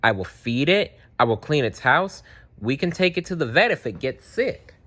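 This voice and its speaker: A man's voice: medium pitch, very animated, moderate pace, fairly bright tone, voice pushed up. A man voicing an eager, polite young boy turtle from a storybook, earnest and pleading.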